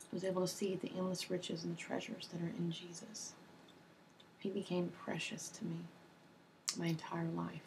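A woman in her twenties with a hoarse voice from a cold, reading softly in an ASMR video at her desk. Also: fairly low pitch, slight background noise, rough recording. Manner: soft-spoken, slow and even, with ums and uhs. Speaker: ASMR creator. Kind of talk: soft-spoken ASMR reading of a personal testimony. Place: small home office indoors.

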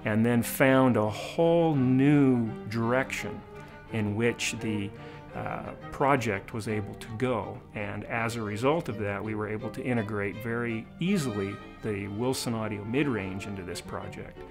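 Middle-aged man, measured technical explainer. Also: fairly low pitch. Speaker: director of engineering. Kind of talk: documentary interview explanation. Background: background music.

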